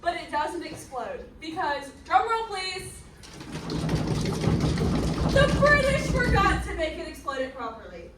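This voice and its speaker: A woman's voice: very high-pitched, big pitch swings, measured pace, long pauses. A woman narrating a comic scripted history in a theatrical manner with sardonic asides. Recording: roomy, distant mic, faint noise.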